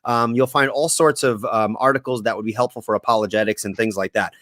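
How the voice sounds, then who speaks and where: A man's voice: fairly low pitch, fairly even intonation, fast talker, fairly bright tone, no echo, studio quality. A man from Puerto Rico hosting a podcast interview, chatty and joking, with many ums and restarts. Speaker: podcast host. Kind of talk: casual interview chat.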